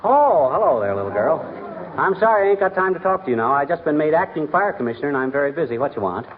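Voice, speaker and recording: An actor with an American accent playing a boastful, folksy middle-aged husband in a 1940s radio comedy. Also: male voice, a little high, sing-song, fast talker. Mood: blustering. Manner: folksy, bragging, wisecracking. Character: boastful, blustering middle-aged husband, self-important buffoon. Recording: tinny, noisy 1940s radio, studio audience.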